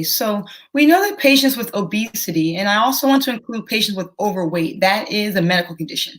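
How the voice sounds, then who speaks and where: Young female doctor, interview guest, slightly lower register, measured and instructive delivery. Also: fairly bright tone, slight background noise, rough recording. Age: young adult. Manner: measured, educational, careful explaining. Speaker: physician and teacher, guest. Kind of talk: explanatory interview answers.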